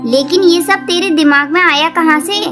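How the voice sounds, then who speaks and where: A fond, fussing cartoon mother bird acted by a woman. Her voice is high and chiding, yet affectionate. Loud music runs underneath. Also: moderate pace. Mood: doubtful, accepting. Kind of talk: Hindi cartoon story acting.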